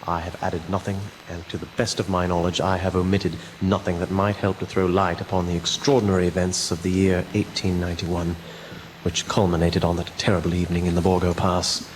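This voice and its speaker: An actor playing a solemn, formal presenter of evidence in scripted radio drama narration. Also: male voice, low voice, flat delivery, a little fast, a little hiss or hum, natural voice, poor audio. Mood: grave, formal.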